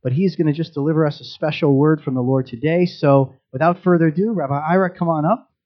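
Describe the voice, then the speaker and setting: A man leads his Messianic congregation through announcements and a prayer. He talks quickly and casually, with fillers, in a somewhat high voice. The recording sounds dull and lo-fi. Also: steady tone. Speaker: congregation leader.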